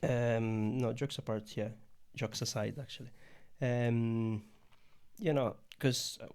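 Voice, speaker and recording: A young man from Italy with an Italian accent, chatting casually as a podcast guest, often pausing to search for words. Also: medium pitch, steady tone, darkish tone, clear, resonant tone. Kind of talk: casual conversation.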